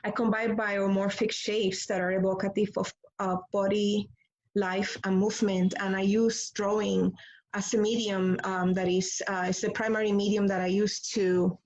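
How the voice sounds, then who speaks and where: A woman from Puerto Rico, a visual artist, speaking about her work in a halting way with many pauses and restarts. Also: mid-range voice, flat delivery, a little mellow. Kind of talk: hesitant artist talk. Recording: slightly dull lo-fi audio.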